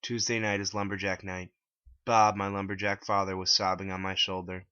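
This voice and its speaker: A man reading his own story aloud as a scripted dramatic narration in a deep voice. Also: level tone, moderate pace, sharp tone, clear, resonant tone, dry recording. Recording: dull lo-fi, dry close recording.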